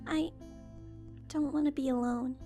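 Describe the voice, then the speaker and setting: A man voicing a timid young filly who is scared of the dark, speaking in a small, pleading voice close to tears. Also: very high-pitched, steady tone, measured pace, faint music behind, voice pushed up. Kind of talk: fanfic audiobook reading.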